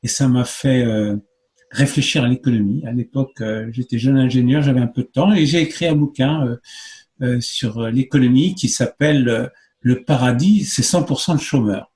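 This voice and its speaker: Interview guest, man in his fifties from France, hesitant earnest delivery, mid-pitched voice. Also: moderate pace, darkish tone. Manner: hesitant, many fillers, earnest explaining. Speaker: guest, movement spokesman. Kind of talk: conversational interview answers.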